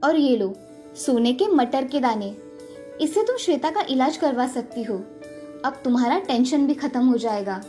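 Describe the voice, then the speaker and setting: A woman voicing a kind, helpful village wife, gentle and earnest, in a dramatised children's moral story. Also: sits high, lively intonation, normal speed, soft background music. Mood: caring.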